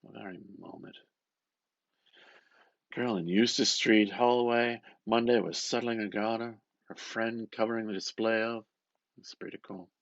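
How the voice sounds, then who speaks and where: A man reading a novel aloud with expressive, dramatic narration, musing and often dropping into a hushed murmur. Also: lowish voice, measured pace, gravelly, no echo, highs cut off. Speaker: reader. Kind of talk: expressive literary audiobook narration.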